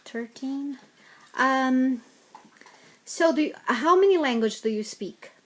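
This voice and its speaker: A woman interviewing a teenager, speaking haltingly and repeating words, with non-native phrasing. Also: high voice, expressive. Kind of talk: casual interview questions.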